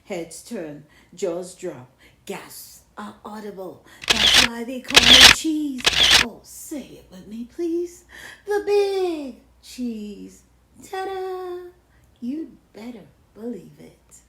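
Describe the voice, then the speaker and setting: A self-admiring big cheese from a storybook, acted out by a woman, hungry for the spotlight. The delivery is pompous and theatrical, slow and savoring, with pitch that swoops widely in a roomy, echoing space. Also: very animated, very noisy, exaggerated voice. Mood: smug, showy. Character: boastful, showy big cheese craving the spotlight. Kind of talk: dramatic picture-book read-aloud.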